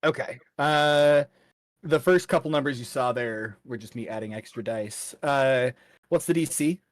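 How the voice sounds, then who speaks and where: A man with a lowish voice leads a tabletop fantasy game as game master. He narrates slowly and haltingly, improvising as he goes, and the sound is dry and close. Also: dry recording.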